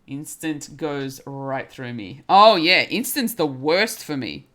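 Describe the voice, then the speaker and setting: A woman's voice: sits low, expressive, takes it slowly, bright, forceful tone. A young woman streaming while she crafts, chatting casually and giggling, often restarting her words as she talks to her chat. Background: faint lo-fi music.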